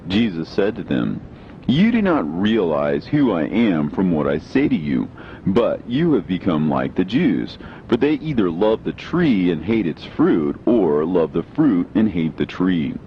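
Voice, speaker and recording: Deep-voiced man reading scripture, expressive pitch. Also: measured pace. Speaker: scripture reader, narrator. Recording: dull lo-fi sound, faint rumbling noise.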